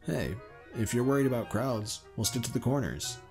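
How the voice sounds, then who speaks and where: A man's voice: medium pitch, expressive, moderate pace, a little mellow, faint music behind, lightly acted. An actor playing a kind, self-doubting young male dragon in an audio drama, earnest and reassuring. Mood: reassuring, unsure. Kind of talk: fan audio drama acting.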